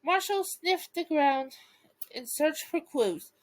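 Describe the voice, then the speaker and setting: A woman reading a bedtime story aloud and chatting casually to viewers, with a playful, giggly, expressive manner. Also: sits high, takes it slowly. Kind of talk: storybook read-aloud with casual asides to fans.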